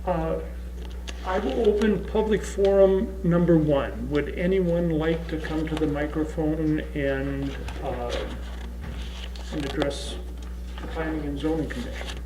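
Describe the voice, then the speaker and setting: Man chairing a meeting, polite, procedural, slightly high voice, echoey chamber, low hum. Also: a little slow. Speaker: planning commission chair. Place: city council chamber, indoors. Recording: echoey room with background hum.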